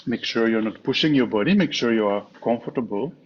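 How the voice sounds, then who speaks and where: A man teaching a guided meditation in a measured way, pausing often between short phrases. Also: medium pitch. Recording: dull, muffled online call with clear background noise.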